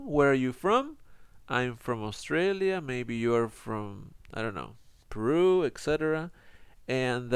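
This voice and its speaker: A man's voice: medium pitch, smooth, no room sound. A man teaching an online English lesson, explaining patiently with many fillers. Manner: switches between Spanish and English, explains slowly with fillers.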